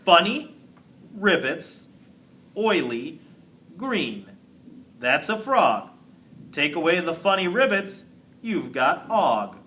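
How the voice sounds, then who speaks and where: Man voicing a cheeky, bright-voiced schoolboy class clown. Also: on the high side, big pitch swings, slow, pauses often, distant mic, slight background noise, full character voice. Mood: showing off.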